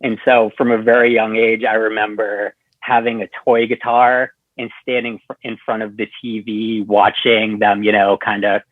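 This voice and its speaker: American male podcast guest, lowish voice, casual, tinny phone-like audio. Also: no room sound. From southern New Hampshire, USA. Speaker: podcast guest, punk band musician. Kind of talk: casual chat. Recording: thin phone-like line, dry.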